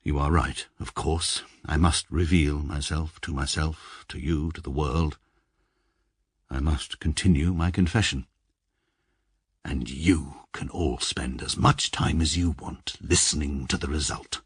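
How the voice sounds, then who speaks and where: A very deep, husky-edged voice belongs to a detached, self-important barrister in middle age. He speaks in measured, sarcastic phrases, close and dry on the mic, as in a radio comedy. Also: fairly even intonation, no room sound, full character voice. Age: middle-aged. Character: short, pompous, detached middle-aged male barrister. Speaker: barrister narrating his own story. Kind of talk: radio comedy drama narration.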